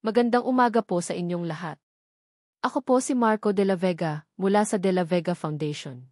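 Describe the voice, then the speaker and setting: A woman's voice: lowish voice, fairly quick, darkish tone, dry recording, studio quality, close to an everyday voice. A woman narrator voicing a commanding, regretful billionaire man in a story. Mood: formal.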